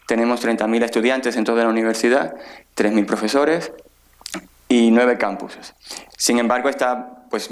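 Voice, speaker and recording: A man from the Dominican Republic giving an academic lecture as a guest professor, explaining things in an informal, conversational way. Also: medium pitch.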